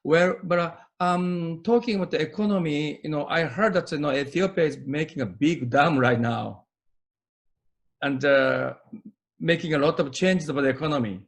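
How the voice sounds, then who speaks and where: A man's voice: fairly high pitch, normal speed, vocal fry. A man with a Japanese accent interviewing someone in a conversational way. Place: remote call.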